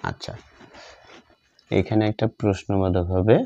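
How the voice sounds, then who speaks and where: A man with a Bengali accent teaching grammar step by step, dictating word by word in a halting, repetitive way. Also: deep voice, flat delivery, creaky, mellow, muffled recording.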